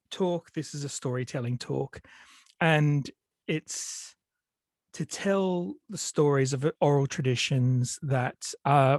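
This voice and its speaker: A man's voice: fairly high pitch, no room sound. Man, stuffy with a cold, hesitant with fillers, dry close sound. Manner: hesitant, many fillers and restarts. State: sniffly with a cold. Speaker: storyteller. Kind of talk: online storytelling talk. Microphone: video call.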